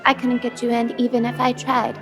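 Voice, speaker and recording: A woman's voice: fairly high pitch, monotone, a little fast, music in the background, lightly acted. A woman playing a sultry, haughty Hollywood actress, teasing and snooty, in a comedic audio drama. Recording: long hall reverb.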